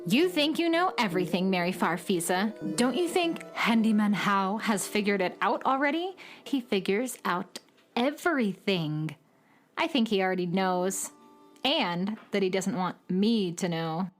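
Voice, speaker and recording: A woman's voice: medium pitch, expressive, measured pace, faint music behind, close to an everyday voice. A woman acting a petulant, tough, cynical girl troublemaker with a sullen, dismissive manner in a children's radio drama. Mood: defensive.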